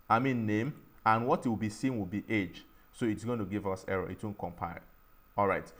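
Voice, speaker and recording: A man teaching a programming tutorial, explaining each step slowly and often restarting and saying "all right". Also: lowish voice. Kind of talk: screen-recorded coding tutorial.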